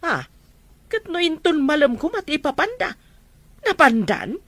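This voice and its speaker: A woman's voice: high-pitched, sing-song, a little slow, fairly bright tone, dry recording, full character voice. A woman with an Ilocano accent playing a nagging, doubtful farm mother in a family drama. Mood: doubtful, complaining. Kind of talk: amateur Ilocano radio-style family drama.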